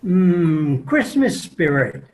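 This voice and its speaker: A man's voice: sits high, big pitch swings, very slow, darkish tone. A man reading scripted old-time radio announcements as the show's announcer in a virtual table read. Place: remote video call.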